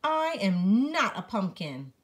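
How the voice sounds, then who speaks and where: A woman's voice: mid-range voice, very animated, slow, voice pushed up. A woman voicing helpful, matter-of-fact farm animals from a children's storybook, speaking in an emphatic, instructive way. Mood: firm, helpful.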